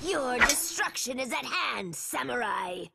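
A woman playing a fierce, menacing young assassin in a cartoon video game, declaiming threats with a creaky edge. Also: a little high, expressive, measured pace, very noisy, exaggerated voice. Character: fierce, menacing young female assassin serving evil master.

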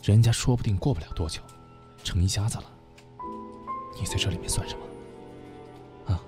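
A man's voice: fairly low pitch, a little fast, loud music behind the voice, lightly acted. A man acting an insinuating, worldly senior businessman in an audio drama, with a knowing, needling manner. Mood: needling, pressing.

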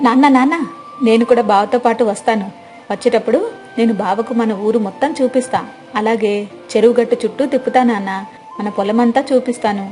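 Woman as an eager, high-voiced young village girl. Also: steady tone, brisk, warm tone, soft background music, natural voice. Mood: eager, pleading. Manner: pleading, chatty.

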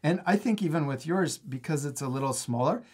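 A man's voice: fairly high pitch, expressive, brisk, a little mellow, breathy edge. A man in his thirties giving a casual step-by-step drawing lesson for children, encouraging and often chuckling. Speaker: drawing instructor and host. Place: indoor studio, at a table.

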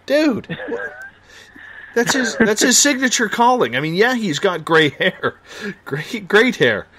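Lively Canadian radio host. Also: male voice, high voice, takes it slowly, slight background noise, low-quality recording. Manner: laid-back, joking, says "man" a lot. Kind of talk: casual late-night radio talk.

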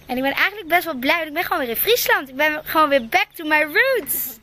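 A woman's voice: sits high, fast, a little hiss or hum. Bubbly young woman vlogging from her car. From Netherlands. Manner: bubbly, excitable, laughs often. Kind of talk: casual vlog chat. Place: small car, garden outdoors, living room. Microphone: handheld camera at arm's length.

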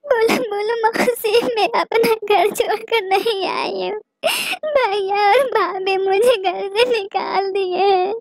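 A woman's voice: very high-pitched, fairly even intonation, takes it slowly, slight background noise, pitched above the performer's own voice, heavily acted. A woman voicing a sad, childlike little-sister bird in a children's cartoon, pleading and plaintive. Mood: sorrowful. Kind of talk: Hindi children's cartoon dialogue.

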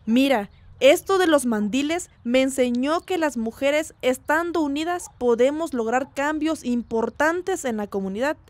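An actress playing an earnest village community activist being interviewed in a radio drama, measured and sincere. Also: female voice, sits high, lively intonation, no room sound.

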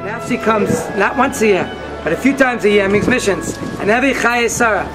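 A man with a strikingly high voice talks informally to a group of visitors outdoors. Loud music plays along with him. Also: animated, a little fast.

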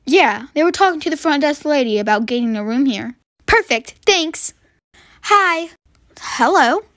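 A young woman with a high, lively voice performs a character roleplay. Her delivery is dramatic and swings widely in pitch, and the sound is close and dry. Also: measured pace, no echo. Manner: dramatic, expressive. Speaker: storyteller voicing all roles. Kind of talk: acted roleplay of animated toy-game characters.

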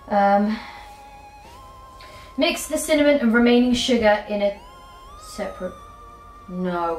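A young British woman chatting casually through a home cooking vlog in her kitchen, rambling and poking fun at her own mistakes. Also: medium pitch. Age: young adult. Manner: self-mocking, rambling, chatty. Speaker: cooking vlogger. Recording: echoey kitchen with faint music.

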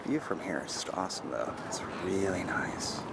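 A man casually narrating as he walks through a waterfront park, talking in a relaxed, offhand way with fillers. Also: sits low, moderate pace, vocal fry, very noisy. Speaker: vlogger walking a park. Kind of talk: casual walking commentary. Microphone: handheld camera while walking. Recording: loud outdoor ambience.

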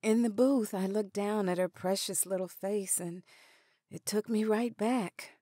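An actress playing a dignified, sentimental older woman in a radio drama, speaking deliberately. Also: mid-range voice, warm tone, no room sound, clean studio sound, natural voice. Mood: warm, reminiscing.

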